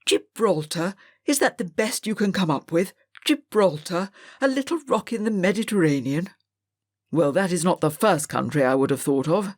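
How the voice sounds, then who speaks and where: A woman's voice: lowish voice, lively intonation, moderate pace, no echo, studio quality. A woman voicing a stern, bullying older male schoolmaster in an audiobook, with a haughty, interrogating manner. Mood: stern, scolding.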